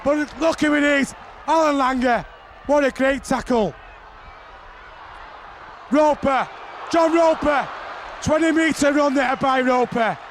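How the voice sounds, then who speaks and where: A man commentating on rugby league with a very high voice and an unhurried pace that rises into shouting whenever the play heats up. A roaring crowd sits behind him in an archive broadcast. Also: a little hiss or hum. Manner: shouting excitedly over the action.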